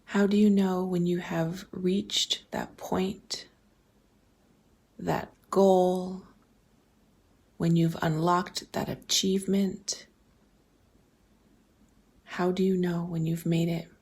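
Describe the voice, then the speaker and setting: A woman with a low, mellow voice leads a guided meditation. Her delivery is very slow and nearly level in pitch, soft and breathy to the edge of a whisper. She poses gentle, open questions and leaves space after them. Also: little pitch movement. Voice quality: soft, breathy, often slipping toward a whisper. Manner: gentle, deliberate, posing open questions with pauses. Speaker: guided visualization host.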